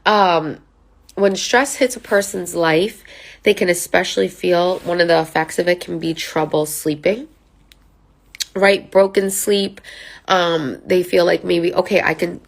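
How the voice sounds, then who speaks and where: A woman's voice: on the low side, moderate pace, vocal fry. A woman who counsels on mental health, talking casually to camera from notes. She sounds nasal from a sinus infection and often checks in with "right?" and "okay?". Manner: conversational, many fillers and tag questions. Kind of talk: informal talk to camera from notes.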